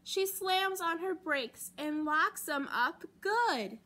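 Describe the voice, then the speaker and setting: A young American woman reading a picture book aloud to children as a storytime host, with deliberate, dramatic emphasis on key words. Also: extremely high pitch, expressive, sharp tone. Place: library children's room, indoors.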